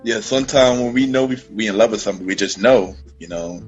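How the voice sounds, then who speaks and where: A man hosting a podcast interview, relaxed, asking questions and giving short replies and laughs. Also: fairly low pitch, fast, dull audio, faint music behind. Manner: relaxed, brief back-channel replies, laughs along. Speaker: podcast host.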